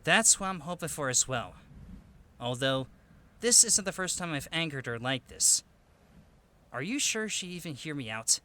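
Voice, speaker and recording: Man voicing a bright, lively, cheeky teenage boy. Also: a little high. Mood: earnest. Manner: expressive, bantering, quick to protest. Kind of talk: anime-style audiobook drama acting.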